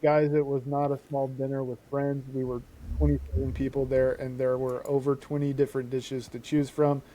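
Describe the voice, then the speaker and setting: A man's voice: mid-range voice, flat delivery, moderate pace, mellow, a little hiss or hum, low-quality recording. A man co-hosting a podcast in an informal, conversational way. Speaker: podcast co-host. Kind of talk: casual chat and reading posts aloud.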